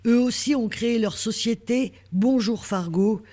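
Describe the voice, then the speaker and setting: A reporter delivers scripted narration for a news story. The voice sits at a middle pitch and follows the written structure of a broadcast report. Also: a little slow, dark tone.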